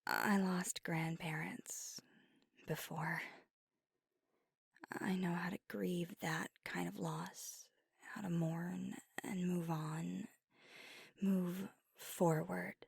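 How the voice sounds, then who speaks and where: A woman voicing a gravelly-whispered young vampire. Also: lowish voice, no room sound, studio quality, exaggerated voice. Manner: soft whispering, intimate. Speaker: ASMR roleplay performer. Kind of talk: ASMR roleplay. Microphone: close mic.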